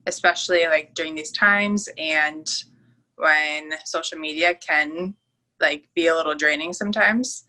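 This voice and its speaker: A young woman co-hosts a casual podcast and talks candidly about herself. Her voice sits a little low but sounds bright. Her easygoing talk is full of fillers. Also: poor audio. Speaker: podcast co-host. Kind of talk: casual chat.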